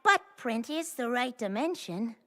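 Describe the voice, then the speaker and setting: A man's voice: extremely high pitch, very animated, a little slow, very noisy, heavily acted. A man voicing a bookish, polite cartoon boy who speaks in a prissy, wordy and precise way. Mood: prim. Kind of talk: cartoon game voice acting. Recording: loud music behind.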